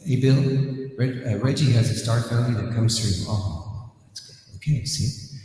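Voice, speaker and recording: A man's voice: medium pitch, normal speed, warm tone, slightly rough, dry recording. Processed studio voice of a rambling man. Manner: rambling, apologetic, interrupts himself. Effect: voice run through an old effects unit. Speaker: guest. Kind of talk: casual podcast chat. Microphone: radio station studio microphone.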